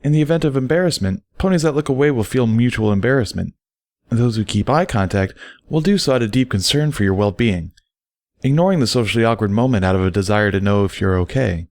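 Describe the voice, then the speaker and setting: A man's voice: medium pitch, expressive, fast talker, a little mellow, no room sound, clean studio sound, voice pushed up, natural voice. A man voicing a confident, teasing, educated female pony who explains things patiently and wordily in a story reading.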